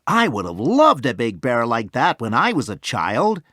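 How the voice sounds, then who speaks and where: A man's voice: medium pitch, wildly swooping pitch, moderate pace, a bit bright, no room sound, clean studio sound. A man voicing an enthusiastic, hearty grown man in a storybook, given to exclaiming. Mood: impressed, eager. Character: enthusiastic grown man, a delighted teddy-bear buyer.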